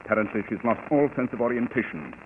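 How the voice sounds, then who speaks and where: An actor playing a brilliant, pompous detective with precise, dramatic diction in a 1940s radio mystery. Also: male voice, mid-range voice, expressive, quick pace, lightly acted. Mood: urgent, grave. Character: brilliant, self-assured, theatrical male private detective. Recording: tinny, noisy old 1940s radio broadcast.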